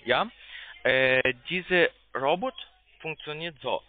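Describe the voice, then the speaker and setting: A man working as a call center agent, giving a halting, repetitive sales pitch as a non-native German speaker. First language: not German. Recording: tinny narrow phone line.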